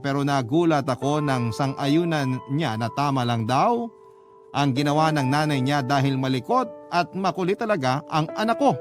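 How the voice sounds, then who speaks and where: A Filipino male narrator tells a troubled personal story in a dramatic scripted style. The voice sits fairly high, with music clearly playing behind it. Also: natural voice. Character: troubled young mother telling her story by letter. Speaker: storyteller reading a letter. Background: music bed.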